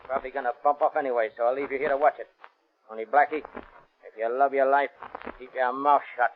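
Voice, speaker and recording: Actor as a brusque, menacing 1930s gangster. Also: male voice, mid-range voice, steady tone, brisk, natural voice. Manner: brusque, slangy, threatening. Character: hard-boiled wounded gunman, gruff and menacing. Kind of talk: 1930s radio acting. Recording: tinny hissy vintage 1930s radio recording.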